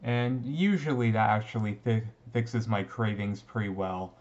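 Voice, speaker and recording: Casting: male actor as brooding yet easygoing teen vampire for ASMR roleplay; low, slow, halting delivery, slightly muffled recording. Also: darkish tone, close to an everyday voice. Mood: resigned. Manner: halting, conversational, mildly resigned. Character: brooding, easygoing male teenage vampire classmate, secretly thirty-seven. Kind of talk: ASMR roleplay, casual intimate talk.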